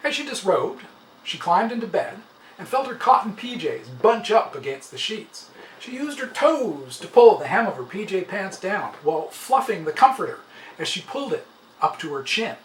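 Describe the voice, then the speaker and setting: A man reading a short story aloud as a dramatic, expressive narration. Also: high voice, normal speed. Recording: roomy, slightly distant, faint noise.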